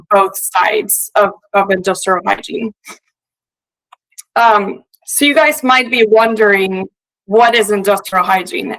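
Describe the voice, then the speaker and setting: A middle-aged woman with a Puerto Rican accent, Spanish her first language, speaks to a conference through a video call. Her talk is relaxed and chatty, full of ums and restarts. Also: mid-range voice, rough recording. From Puerto Rico. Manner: relaxed and chatty, with many fillers. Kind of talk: remote conference presentation.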